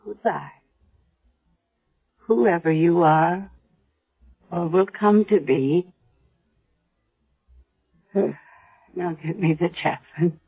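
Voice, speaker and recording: Old radio drama, a frail gentle granny, real slow and husky, kinda muffled sound. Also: female voice, fairly low pitch, full character voice. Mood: tender farewell. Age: older. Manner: slow, gentle, halting delivery. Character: frail, tender, blind dying grandmother. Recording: dull old 1970s radio broadcast.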